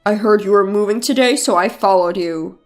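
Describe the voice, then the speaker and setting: Woman voicing a haughty, curt husband, pitched low. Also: fairly quick, natural voice. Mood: haughty, contemptuous. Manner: curt, dismissive. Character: haughty, selfish, contemptuous adult husband. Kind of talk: dramatized story narration.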